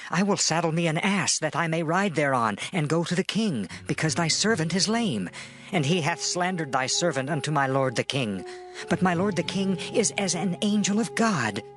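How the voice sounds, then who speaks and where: A man's voice: a little high, fairly bright tone. An actor playing a meek, loyal servant in a dramatized scripture, earnest and deferential before his king.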